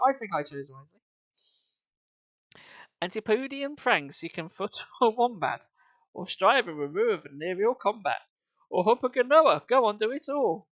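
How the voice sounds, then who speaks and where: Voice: medium pitch, a bit bright, dry recording. A streamer reading comic verse live in an amused, lilting singsong, with chatty asides.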